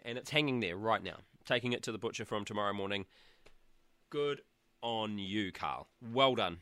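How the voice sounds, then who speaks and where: A man's voice: mid-range voice, sharp tone, dry recording. A man with a New Zealand accent hosting his own radio show in casual chat, cheeky and jokey, with a sore throat and blocked nose. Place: small studio room at back of a shed.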